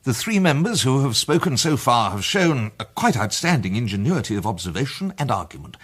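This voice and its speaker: An actor with an English accent playing an urbane, self-assured gentleman detective, polished and theatrical in a radio drama. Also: medium pitch, very animated, fairly quick, slightly rough. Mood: confident, enthusiastic. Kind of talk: British radio drama acting.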